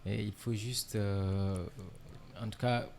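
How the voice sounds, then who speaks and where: A man from Niger, a musician guest in a casual interview, relaxed and filler-heavy, dropping in English words. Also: on the low side, slow pace, creaky, slight background noise.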